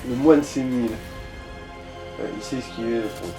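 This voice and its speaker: A man's voice: medium pitch, fairly even intonation, normal speed, music in the background, low-quality recording. A man chatting casually as he advises another player through a video game, blunt and prone to swearing. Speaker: co-player advising on a let's play. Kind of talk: casual gaming chat.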